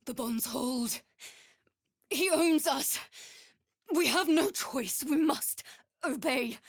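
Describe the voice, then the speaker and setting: A woman voicing a formal, resigned female vampire spawn in a dramatic video game, with a grave, measured delivery. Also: high-pitched, no room sound, clean studio sound. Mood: grave resignation.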